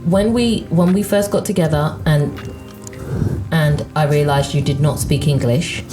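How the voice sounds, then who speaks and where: A woman with a notably low voice talks casually and emphatically, peppering her talk with blunt slang. Music plays behind her. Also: fairly even intonation, a little slow. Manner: emphatic, uses slang like "point blank". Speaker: podcast co-host. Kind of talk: casual chat.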